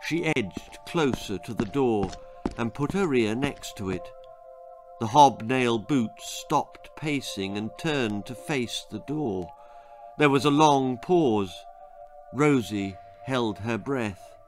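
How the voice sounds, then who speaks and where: A man's voice: medium pitch, expressive, slow, soft background music. A man reading a spooky, darkly comic children's story as its author, with dramatic, suspenseful delivery. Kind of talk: scripted children's horror-comedy storytelling.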